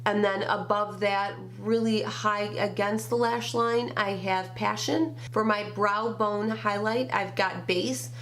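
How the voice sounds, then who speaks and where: A woman's voice: mid-range voice, fairly even intonation, slow, few pauses, fairly bright tone, slight background noise. A grandmother with a nasal, stuffed-up voice from a summer cold, chatting through a makeup review in a small bedroom and naming each shade one by one. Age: older. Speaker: beauty vlogger. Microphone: camera at desk distance.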